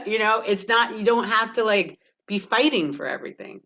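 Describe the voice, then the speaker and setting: Animated Canadian woman, slightly muffled audio. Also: a little high, moderate pace. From Toronto, Canada. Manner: animated, laughs often. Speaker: actor and producer, panelist. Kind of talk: candid panel discussion. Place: virtual panel over video call.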